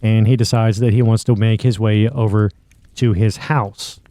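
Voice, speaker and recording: Mellow man hosting a podcast. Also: on the low side, steady tone, normal speed, no room sound. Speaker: podcast host. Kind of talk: commentary linking radio drama clips.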